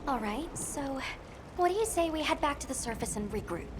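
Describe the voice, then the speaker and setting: An actress playing an earnest, caring young female guild handler in a video game cutscene, over loud music. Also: high voice, animated, fairly quick, background noise. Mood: calm, practical.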